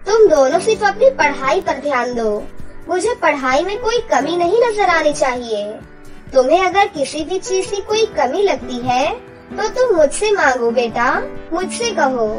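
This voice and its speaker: A woman's voice: high voice, recorded from a distance. A woman voicing a devoted, hard-working mother in a cartoon story, reassuring and motherly. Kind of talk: cartoon voice acting.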